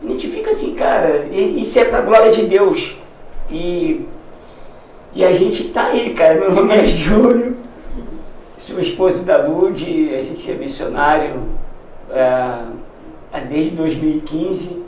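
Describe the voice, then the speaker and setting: A man, a missionary guest, speaking in casual conversation, halting and emotional, often restarting his sentences. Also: a little high, lively intonation, darkish tone, distant mic, slight background noise. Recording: echoey room, somewhat off-mic.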